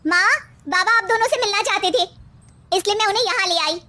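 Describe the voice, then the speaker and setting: Woman acting an insistent, very high-voiced young daughter. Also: brisk, pushed and bright. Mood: explaining. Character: exasperated young married daughter and sister-in-law.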